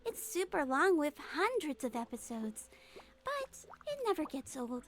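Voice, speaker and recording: A woman voicing a small, gentle, girlish head nurse who is polite and earnest, in a video game fan dub. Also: high voice, big pitch swings, measured pace, faint music behind. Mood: chatty, pleased.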